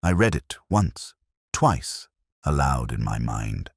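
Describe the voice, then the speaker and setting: A man playing a very deep-voiced, brooding detective. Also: very animated, creaky, husky, no room sound, studio quality, heavily acted. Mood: brooding, reflective. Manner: measured, reflective, literary phrasing. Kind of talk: scripted first-person detective narration, audio drama.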